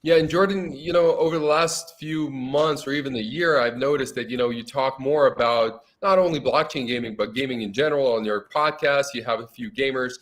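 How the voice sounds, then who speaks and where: A man's voice: mid-range voice, a little fast, hardly any pauses, a bit bright. Chatty man saying "you know" a lot. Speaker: co-host. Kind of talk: casual interview chat.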